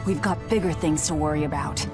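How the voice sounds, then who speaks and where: A woman playing a cold, driven young leader in a video game, curt and deadpan. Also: low voice, fairly quick, music in the background, close to an everyday voice. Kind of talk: animated video game dialogue.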